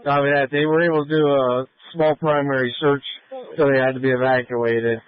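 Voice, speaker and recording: A man's voice: mid-range voice, little pitch movement, a little fast, clear, resonant tone, no room sound. A man working as a fire operations officer, talking in clipped procedural phrases over a two-way radio during an emergency. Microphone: radio handset. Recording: tinny narrowband fire radio transmission.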